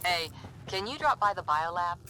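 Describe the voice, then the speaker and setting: A tough female soldier from a video game, low in pitch and blunt. She talks with a wry edge, in a space with long reverb. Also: expressive, normal speed, sharp tone, slight background noise. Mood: guarded, reflective. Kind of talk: video game cutscene acting.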